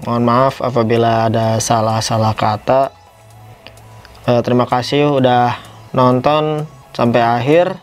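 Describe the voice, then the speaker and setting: A man's voice: medium pitch, little pitch movement, unhurried, long pauses, soft background music. A man giving a casual, unscripted product review, rambling a little and repeating himself as host. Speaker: toy reviewer, video host.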